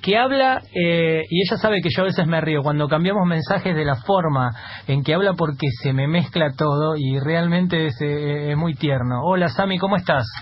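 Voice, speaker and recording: A man's voice: a little high, moderate pace. A man with a Rioplatense Argentine accent hosting a radio interview, often repeating words as he restarts his sentences. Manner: hesitant, many repeated restarts. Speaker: radio host. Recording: muffled lo-fi radio sound, faint noise.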